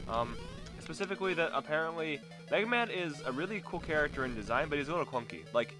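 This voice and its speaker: A young man co-hosting a casual video game chat, talking quickly with lots of restarts. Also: over music. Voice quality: deep bass voice. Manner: fast, animated, stumbling restarts. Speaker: co-host.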